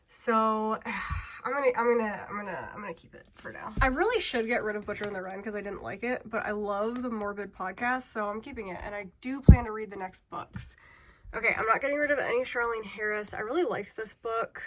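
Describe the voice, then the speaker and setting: Booktuber woman, 30s, stuffy and congested, casual and chatty with frequent laughs, slightly high register. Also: brisk, a bit bright, a little hiss or hum. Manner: chatty, rambling, laughs often. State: congested, feeling sick. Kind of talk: casual chat to camera. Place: home, sorting bookshelves indoors.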